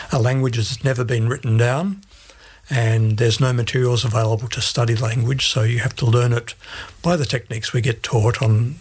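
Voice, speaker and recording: Husky Australian man explaining methodically. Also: mid-range voice. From Australia, grew up in Sydney. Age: middle-aged. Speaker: interview guest, Bible translation advisor. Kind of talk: explanatory interview answers.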